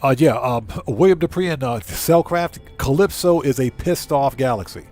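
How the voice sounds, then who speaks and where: A man with a mid-pitched voice hosts a casual game stream. He talks to viewers and muses aloud in a halting, easygoing way. Game music plays faintly behind him. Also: a little mellow. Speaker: livestream host and gamer. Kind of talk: casual live game commentary, chatting with viewers.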